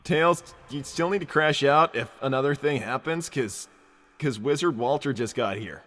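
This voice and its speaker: A man's voice: medium pitch, normal speed, fairly bright tone, long reverb tail, lightly acted. A man voicing a laid-back young cartoon hedgehog hero, casual and placating in improvised comedy. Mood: wry. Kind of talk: improvised in-character comedy livestream.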